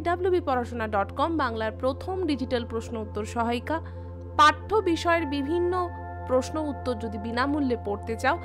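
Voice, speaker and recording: A woman with a West Bengal Bengali accent presenting a scripted lesson to students, explaining directly to the listener. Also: high voice. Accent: West Bengal Indian Bengali. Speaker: teacher, presenter of an educational channel. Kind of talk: scripted exam lesson and channel promotion, addressed to students. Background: music.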